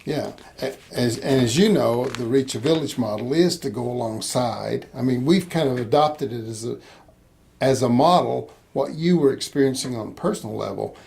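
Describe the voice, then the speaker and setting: Older man with a gravelly voice. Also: mid-range voice, normal speed, darkish tone. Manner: rambling, hesitant restarts, laughs easily. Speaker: interviewer, missions organization host. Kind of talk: casual conversational interview.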